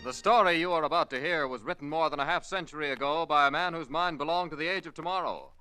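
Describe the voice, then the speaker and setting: A man's voice: on the high side, quick pace, sharp tone, faint music behind. A man reading scripted announcements in 1940s radio style.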